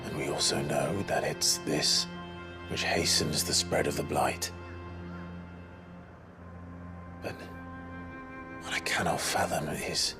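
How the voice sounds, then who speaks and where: An actor playing a grim, weary, protective warrior in a video game, grave, measured and hushed at times. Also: male voice, very deep voice. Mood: brooding. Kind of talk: dramatic video game acting. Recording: loud dramatic music behind.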